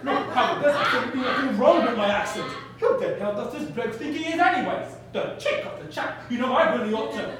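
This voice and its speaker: A man performing a pompous, hot-tempered male actor who shouts indignantly. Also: extremely high pitch, expressive, brisk, few pauses, pitched above the performer's own voice. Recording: roomy live theatre, distant mic, noisy.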